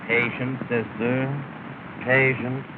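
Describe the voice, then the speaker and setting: Cocky, self-satisfied man, played by an actor, mid-pitched, 1930s film, scratchy tinny soundtrack. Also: flat delivery, takes it slowly, close to an everyday voice. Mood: smug. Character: smug, cocky man showing off his success.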